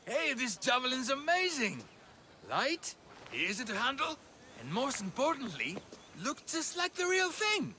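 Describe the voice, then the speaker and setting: A man's voice: very high-pitched, wildly swooping pitch, a little slow, pushed and bright, a little hiss or hum, full character voice. An actor playing a sheepish, evasive male athlete in a video game. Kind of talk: video game cutscene acting.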